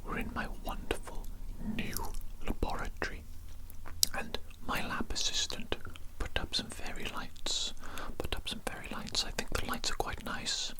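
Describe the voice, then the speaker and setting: A performer voicing an evil mad scientist kidnapper in a breathy British whisper, menacing yet fussy, slowly repeating phrases in an ASMR roleplay. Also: close to an everyday voice, rough recording. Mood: gleeful grumbling. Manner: slow, deliberate, repeats phrases.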